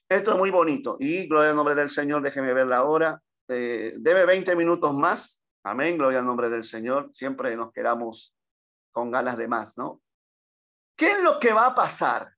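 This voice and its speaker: Fervent Peruvian-born church teacher, higher voice. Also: male voice, a bit bright. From Peru. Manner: peppers speech with "gloria al nombre del Señor" and "amén"; addresses listeners as "hermano". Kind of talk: Bible class teaching.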